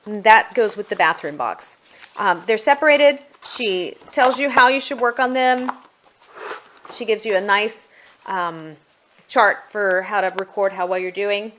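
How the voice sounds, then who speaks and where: A middle-aged woman gives a casual product walkthrough in her home. Her voice sits at an average pitch, and she speaks in an explanatory, conversational way, as a homeschooling parent would to other parents. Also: a little slow, fairly bright tone, a little hiss or hum, rough recording.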